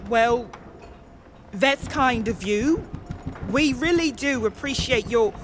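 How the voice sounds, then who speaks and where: A polite, higher-voiced lady reverend. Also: animated, slow, noisy background. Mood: worried, diplomatic. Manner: courteous, tactful. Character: polite, worried female reverend, wife and mother. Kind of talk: fantasy audio drama acting.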